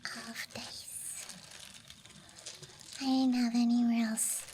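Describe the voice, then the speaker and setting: A woman murmurs an ASMR show-and-tell, mostly in a whisper. Her voice sits fairly high and stays very even, with little melodic movement. She takes her time and lets phrases trail off, over clearly audible background noise. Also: slow. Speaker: ASMR host. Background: night campground ambience and clicking of handled objects.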